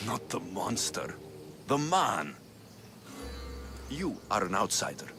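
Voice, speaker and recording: An actor playing a young, sardonic mercenary in a video game, dry and gruff. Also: male voice, low-pitched, very animated, takes it slowly, noisy background, heavily acted. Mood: suspicious. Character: weary, sardonic young male Greek mercenary. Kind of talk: video game cutscene acting.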